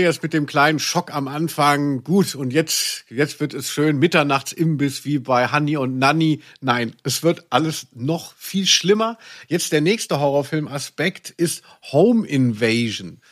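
Higher-voiced man, ironic podcast co-host. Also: a little slow, few pauses, a bit bright. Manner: ironic, theatrical exaggeration. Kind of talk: casual comedic podcast chat about audio dramas.

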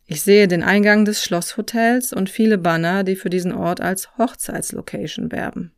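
A narrator reading a scripted personal travel story in a reflective, measured way, recorded close on a microphone. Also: mid-range voice, fairly even intonation, gravelly, dry recording. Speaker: podcast host and narrator.

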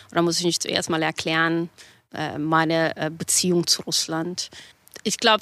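A middle-aged woman from Kazakhstan reflecting on herself in an interview, halting as she searches for words. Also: on the low side, a bit bright, no echo. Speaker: interview guest. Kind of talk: unscripted personal reflection.

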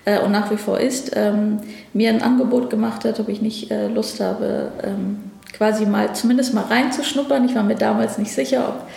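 A woman's voice: mid-range voice, steady tone, normal speed, gravelly, warm tone, reverberant. A woman from Afghanistan, a ministry division head, answering interview questions thoughtfully with frequent hesitations. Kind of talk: conversational interview. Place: office at a federal ministry.

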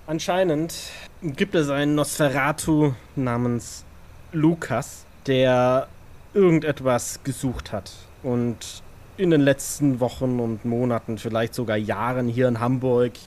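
A man playing an earnest vampire scout in an audio drama, reporting in a halting, matter-of-fact, self-correcting way. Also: medium pitch, darkish tone, lightly acted. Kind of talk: audio drama acting, improvised feel. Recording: background noise and ambience.

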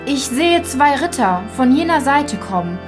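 A woman's voice: high-pitched, very animated, a little slow, music in the background, pitched above the performer's own voice. A woman voicing a watchful young sister in a fairy tale, calling out in a lilting way from a tower. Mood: hopeful, anxious. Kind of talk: dramatised fairy tale acting.

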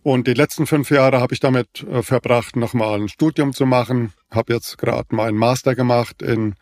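A man in his fifties, a naturopath co-hosting a podcast, explaining in a measured, even way with frequent fillers. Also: medium pitch, dry recording, clean studio sound. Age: 50s. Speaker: naturopath and co-host. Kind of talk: casual podcast conversation.

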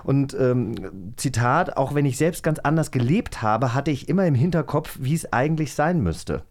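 Male host, medium pitch, animated and conversational delivery. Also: moderate pace. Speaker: podcast host. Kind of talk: casual chat.

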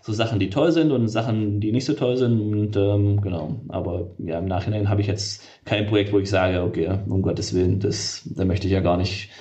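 A man's voice: fairly low pitch, steady tone, moderate pace, few pauses, warm tone, muffled recording. A middle-aged man, a game developer being interviewed, talking casually and hesitantly with many fillers and restarts.

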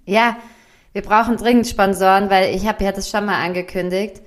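A woman's voice: medium pitch, normal speed. Joking young woman co-hosting a podcast. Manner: joking, blunt, lively. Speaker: podcast co-host. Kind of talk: casual chat.

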